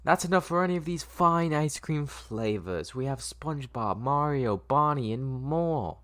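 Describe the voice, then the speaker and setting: A man's voice: a little high, expressive, measured pace, a bit bright, no echo, voice pushed up. A man voicing an eerie but kindly doctor who sells ice cream, courteous and coaxing, in an amateur drama read. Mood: salesman-like.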